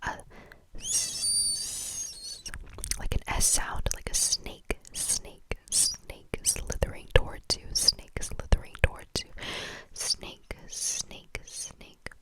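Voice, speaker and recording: Slow whispering woman teaching. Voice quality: soft whisper. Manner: repetitive, slow, breathy trigger-word repetition. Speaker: language teacher. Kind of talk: whispered ASMR lesson. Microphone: camera mic.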